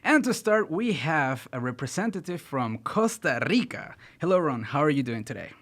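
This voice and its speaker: A man's voice: a little high, very animated, moderate pace, fairly bright tone. Upbeat male interviewer. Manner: upbeat, brief reactions. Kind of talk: on-site interview.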